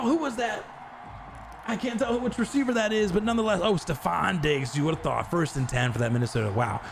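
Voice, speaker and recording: A man doing live play-by-play commentary on a video game stream, getting loud on big plays and chatting with viewers between them. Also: a little hiss or hum. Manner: excitable, jumps to high shouts on big plays.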